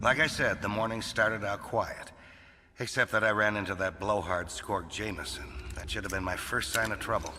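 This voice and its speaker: A man's voice: fairly low pitch, normal speed, background noise, close to an everyday voice. An actor playing a middle-aged rebel leader and farmer father in an audio drama, earnest, firm and plain-spoken. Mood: matter-of-fact. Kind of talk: audio drama acting and narration.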